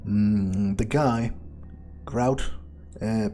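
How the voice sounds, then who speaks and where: A man plays a video game in character, musing aloud at an unhurried pace. His voice is soft-edged and mellow, with a sardonic, sweary streak, and music plays behind him. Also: on the low side. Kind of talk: in-character playthrough commentary, thinking aloud.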